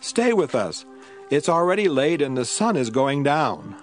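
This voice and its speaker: A man's voice: a little high, very animated, unhurried, faint music behind. A man playing an earnest, sorrowful disciple in an audio Bible drama, heartfelt in delivery. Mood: pleading.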